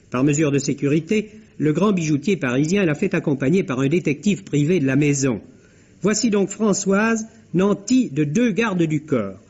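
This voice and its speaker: High-voiced, husky man on old radio. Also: darkish tone. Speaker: film critic on radio. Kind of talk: scripted 1950s radio film review. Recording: dull lo-fi old radio recording.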